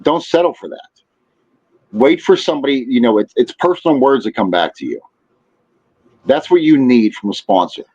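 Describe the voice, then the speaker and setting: A man's voice: medium pitch, big pitch swings, moderate pace, pauses often, a little mellow. Male podcast guest, mid-range voice, slightly muffled recording, conversational and instructive, circles back over his phrases. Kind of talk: casual chat giving practical advice.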